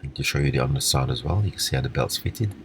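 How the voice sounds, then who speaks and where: A man with a husky voice giving a casual, unscripted, step-by-step walkthrough of hands-on repair work. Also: rumbling low, quick pace, noisy background. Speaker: repair demonstrator.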